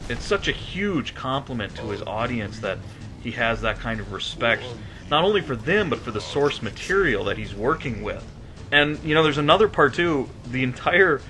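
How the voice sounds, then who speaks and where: Very bright, emphatic male video host. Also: medium pitch, slight background noise. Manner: earnest, emphatic, effusive. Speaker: host of game movie review show. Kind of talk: enthusiastic conversational video commentary.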